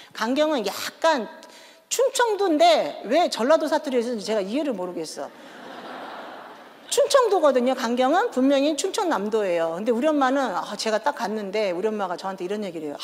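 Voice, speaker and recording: Woman, 50s, Chungcheong accent, pastor's wife, animated storyteller, very expressive, long hall echo. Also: a little high. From Daejeon, South Korea. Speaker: pastor's wife, guest speaker. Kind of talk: humorous church testimony. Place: large church hall. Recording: echoey church hall.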